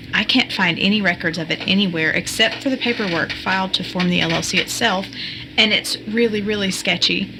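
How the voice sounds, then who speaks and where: A curious young computer sleuth acted by a woman with a mid-pitched voice. She explains things conversationally in an audio drama, with clear noise in the background. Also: natural voice.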